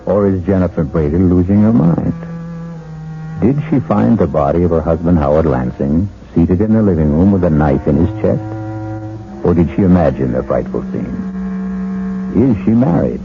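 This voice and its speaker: Deep-voiced male suspense host over music. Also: lively intonation, vocal fry. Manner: measured, dramatic, questioning. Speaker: radio drama host and narrator. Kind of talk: scripted suspense narration, 1970s radio. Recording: dull 1970s radio broadcast over music.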